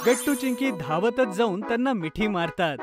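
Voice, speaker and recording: A woman's voice: medium pitch, expressive, normal speed. Woman narrating children's story over music. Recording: music under the voice.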